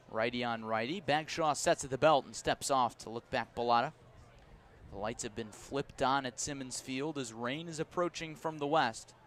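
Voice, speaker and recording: A man calling live baseball play-by-play for radio from an outdoor ballpark, in measured, descriptive short phrases. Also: mid-range voice, a bit bright. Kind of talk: live radio sports commentary.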